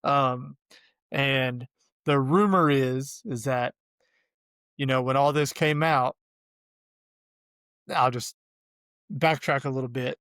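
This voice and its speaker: A man with a cold, sounding hoarse, hosting a casual sports podcast and laughing often. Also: mid-range voice, takes it slowly, long pauses, fairly bright tone, dry recording. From Arkansas. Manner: laid-back, laughs often. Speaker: podcast host.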